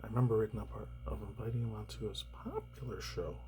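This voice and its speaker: Rambling, cursing man with low voice. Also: steady tone, fast talker, music in the background. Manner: rambling, swears often, laughs between remarks. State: slightly raspy, tired and subdued. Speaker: commentary YouTuber. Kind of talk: casual rambling news commentary.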